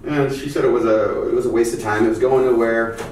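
A man's voice: medium pitch, fairly even intonation, quick pace, a little mellow, a little hiss or hum, close to an everyday voice. A man at a script table read playing an insecure, heartbroken, doubting guy who stammers hesitantly and swears. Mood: sheepish, regretful. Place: meeting room around a long table, indoors. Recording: very echoey room, distant mic.

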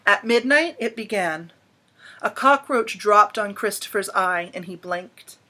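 A woman in her thirties reading a children's picture book aloud in an expressive, dramatic storytelling manner with emphatic pauses. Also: mid-range voice. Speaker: storybook reader. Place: small indoor room at home.

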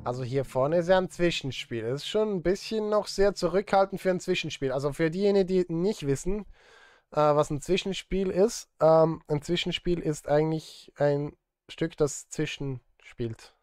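A man's voice: on the high side, normal speed, darkish tone, no room sound. Dry, close-miked man. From Switzerland. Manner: self-deprecating, joking asides. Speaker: streamer and film composer. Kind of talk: casual live-stream chat while composing. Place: indoor streaming setup.

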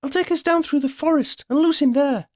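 A man's voice: very high voice, fairly quick, creaky, dry recording, studio quality, pitched above the performer's own voice. A man voicing a bold, bantering young woman, a broom-flying witch in a storybook, calling out urgently. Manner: shouting, urgent, exclaiming.

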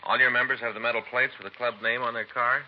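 An actor playing a practical, plain-spoken police detective in a 1950s radio drama, with clipped delivery. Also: male voice, mid-range voice, flat delivery, fast, close to an everyday voice. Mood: matter-of-fact. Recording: dull 1950s radio recording, faint noise.

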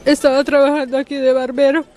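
A woman's voice: sits high, flat delivery, fast, darkish tone, faint music behind. A grieving mother crying outdoors, answering a reporter in short phrases. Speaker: mother of a victim. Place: outdoors on a street.

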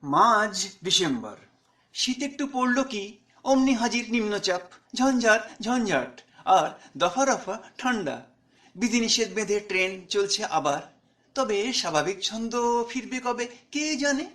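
A man's voice: sits high, animated, a little slow, a bit bright. A man reading his own short story in a conversational way, with dialogue.

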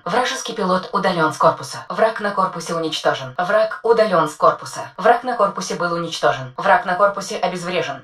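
A composed female war-machine AI that rattles off short, formal combat reports at a rapid clip for a game. Her voice sits fairly low for a woman. It sounds set back from the microphone. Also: a bit bright, distant mic. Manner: clipped, formal military status reports. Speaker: voice actor. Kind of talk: video game dub voice lines.

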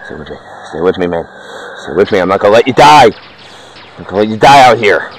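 A man playing a panicked survivor filming the ordeal, shouting and pleading with a dying friend. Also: fairly low pitch, very animated, brisk, poor audio. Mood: frantic. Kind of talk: found-footage acting.

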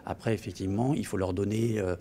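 A man in his thirties, an employment agency official, speaks in a measured way with frequent hesitations in an interview inside an office meeting room. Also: fairly low pitch, fairly even intonation, mellow. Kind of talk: interview for a news report.

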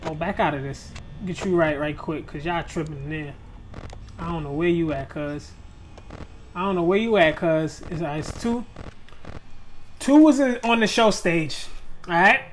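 A man's voice: on the high side, moderate pace, pauses often, slight background noise. Young man joking through a horror game. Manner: loose, joking trash talk with slang and swearing; talks straight to viewers. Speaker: gaming video creator. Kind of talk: live gameplay commentary.

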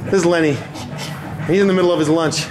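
A man casually narrating a handheld walking tour of a big record store, talking excitedly to his viewers. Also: a little high, quick pace, poor audio. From New York. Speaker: vlogger touring record stores. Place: large indoor record store. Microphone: handheld camera mic. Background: store music playing.

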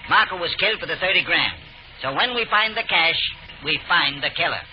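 An actor playing a brisk, matter-of-fact older police inspector in an old radio drama. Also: male voice, on the high side, expressive, faint music behind, close to an everyday voice. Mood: brisk, decisive. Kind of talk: 1940s radio acting. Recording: dull old radio recording.

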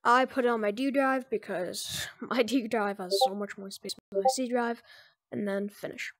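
A speaker giving informal, improvised step-by-step instructions in a casual screen-recorded software tutorial. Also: mid-range voice, fairly even intonation, moderate pace, no echo, clean studio sound. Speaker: tutorial presenter.

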